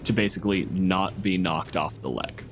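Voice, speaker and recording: Male game master, lower register, narrates and referees tabletop play over clear background music. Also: moderate pace. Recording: music playing under the voice.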